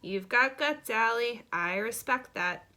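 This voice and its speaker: Woman playing a bright, confident schoolgirl. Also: a little high, lively intonation, slow pace, full character voice. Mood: warm. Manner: casual, direct. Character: confident, friendly, frank schoolgirl.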